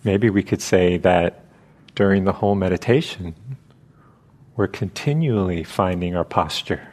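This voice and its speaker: A man leading a guided meditation as a teacher, speaking in short, halting phrases with long pauses between them. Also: low voice, a little mellow.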